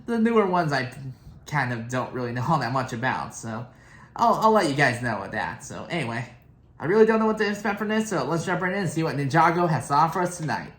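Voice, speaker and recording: A man hosting a reaction video, giving casual, rambling commentary in a hesitant, halting, informal way. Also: fairly high pitch, sing-song. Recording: roomy echo, loud show music behind.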